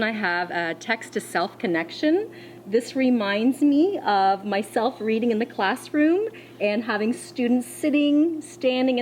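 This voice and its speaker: A woman schoolteacher reading a children's storybook aloud, expressively and deliberately, with dramatic pauses. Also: mid-range voice, slight background noise. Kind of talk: read-aloud of a children's storybook with teacher asides.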